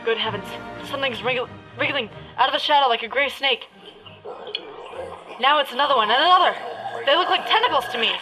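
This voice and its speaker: A woman playing an excitable radio reporter in a theatrical parody of an old radio drama, describing an alien landing. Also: high-pitched, a little fast, natural voice, low-quality recording. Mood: horrified awe. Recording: music under the voice.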